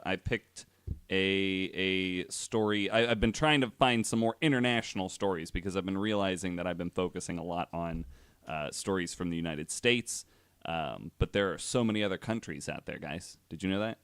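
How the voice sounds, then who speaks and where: Guy podcast host w a smooth lowish voice, dry close sound, rambly casual storytelling, swears a bit. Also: moderate pace, a bit bright, no room sound.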